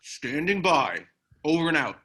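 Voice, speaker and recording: A man's voice: fairly high pitch, very animated, measured pace, pushed and bright, heavily acted. A man playing a cocky, teasing comrade calling in by hand radio in a screenplay table read, breezy and clipped. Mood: businesslike.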